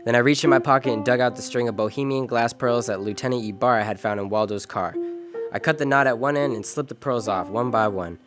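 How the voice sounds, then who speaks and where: An actor playing a world-weary, decent American private detective in an old-time radio drama, wry and clipped. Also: male voice, mid-range voice, fast, music in the background, natural voice. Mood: wistful, wry. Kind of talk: 1940s detective radio drama, first-person narration and dialogue.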